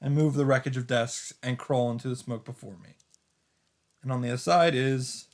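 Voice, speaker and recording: A man's voice: mid-range voice, fairly even intonation, normal speed, a little mellow. A man hosting a let's play, reading a visual novel's first-person narration aloud with occasional asides of his own. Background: game music.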